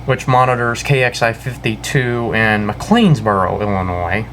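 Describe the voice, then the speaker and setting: A young American man with an ordinary mid-range voice walks through a hobby show-and-tell. He is casual and halting, rambling and correcting himself as he goes. A faint air-conditioning hum sits behind him in the room. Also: medium pitch, a bit bright, poor audio. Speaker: hobbyist video creator. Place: indoors in a house.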